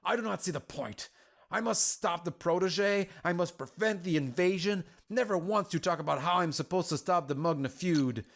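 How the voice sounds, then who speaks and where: A man's voice: high-pitched, normal speed, gravelly. A man voicing a troubled, defiant warrior woman in a story, earnest and questioning in an agitated manner. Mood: defiant, frustrated. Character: Arya, troubled defiant young female warrior burdened by war.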